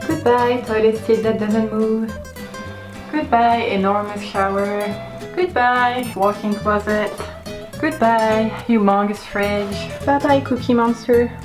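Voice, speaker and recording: A woman's voice: fairly high pitch, slow, over music. A woman in her thirties from France with a French accent, narrating her vlog in a playful, chatty, self-mocking way. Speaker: vlogger.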